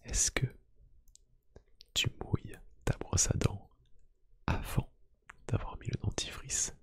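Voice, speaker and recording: A man doing a soft-spoken ASMR roleplay, gently whispering one-to-one to the listener in a hushed, breathy voice. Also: slow, no echo. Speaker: ASMR YouTube creator. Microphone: close microphone.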